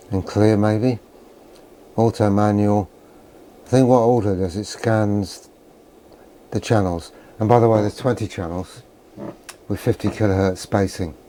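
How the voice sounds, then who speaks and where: Low, mellow older British man, dryly grumbling. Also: fairly even intonation, moderate pace, long pauses, a little hiss or hum. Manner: dry, grumbling, sardonic. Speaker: presenter of a vintage radio video. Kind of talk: rambling unscripted vlog commentary.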